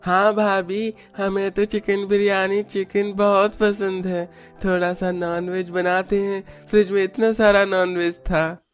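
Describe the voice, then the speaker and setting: A man's voice: high voice, level tone, a little slow, few pauses, smooth, faint music behind, pitched above the performer's own voice. A man voicing an eager, boyish young brother-in-law in a cartoon, with a casual manner.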